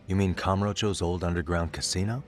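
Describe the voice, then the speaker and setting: A composed detective from a video game , voiced by a man, thinking things through step by step. His voice is deep and measured, with music clearly behind it. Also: fairly even intonation, close to an everyday voice. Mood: calm, inquiring. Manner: measured, reasoning. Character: cool, analytical male detective, focused and composed. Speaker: voice actor in an English dub. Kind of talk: video game cutscene dialogue.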